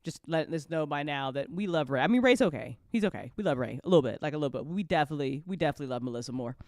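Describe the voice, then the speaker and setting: A woman's voice: deep voice, expressive, very fast, darkish tone, no echo, studio quality. A woman hosting a casual after-show chat, talking in an emphatic, gushing, sweary way. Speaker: host and audio drama writer.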